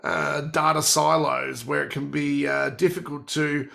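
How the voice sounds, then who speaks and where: A man with a cold and a croaky, gravelly voice, presenting a technical workshop and walking through product features. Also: on the high side, flat delivery, unhurried, a bit bright.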